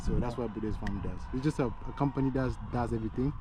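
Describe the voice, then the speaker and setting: A young man from Tanzania, a business owner being interviewed, talking in a relaxed, plain-spoken way. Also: medium pitch, fast. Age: young adult. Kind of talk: casual interview. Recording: noisy outdoor recording.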